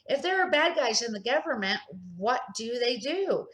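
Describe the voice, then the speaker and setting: A woman's voice: a little high, expressive, normal speed, fairly bright tone, voice pushed up. A woman reading the part of a curious, thoughtful young boy in a children's storybook, with an inquisitive manner.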